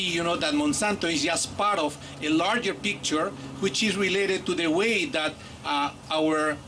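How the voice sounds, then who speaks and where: A man from Peru, a native Aymara speaker with a Peruvian accent, giving a reflective public speech as an academic guest, pausing mid-phrase. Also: high voice, bright, forceful tone. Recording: faint outdoor event noise.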